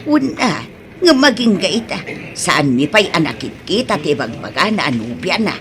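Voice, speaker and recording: A woman's voice: on the low side, big pitch swings, normal speed. A middle-aged woman with an Ilocano accent, acting a plain-spoken, concerned provincial mother in a comedy drama. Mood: matter-of-fact. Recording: noticeable background noise.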